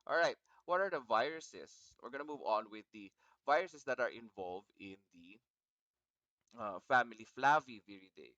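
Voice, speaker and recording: Male lecturer, Filipino accent, bright and clear with slight morning froggy edge, informal, encouraging, repeats himself. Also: medium pitch, animated, normal speed, no echo, muffled recording. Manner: encouraging, repeats himself, asks students questions. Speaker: virology lecturer. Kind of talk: informal online lecture to students.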